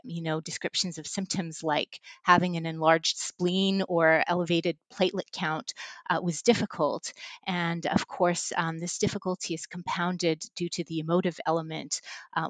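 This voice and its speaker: A woman researcher telling a personal story in an interview, in a measured and reflective way. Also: on the low side, no echo. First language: English. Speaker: researcher guest.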